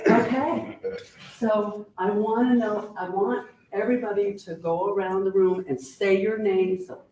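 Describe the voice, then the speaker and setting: A man's voice: sits high, mellow. A man moderating a live event, upbeat and welcoming, laughing often as people introduce themselves. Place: meeting room at a live event. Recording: echoey room from a distance, faint hiss.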